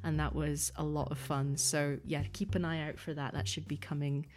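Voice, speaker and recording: A woman's voice: low-pitched, level tone, moderate pace, darkish tone. A woman speaking as a podcast guest in casual interview chat, hesitant as she thinks aloud. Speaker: podcast guest, audio fiction producer and performer.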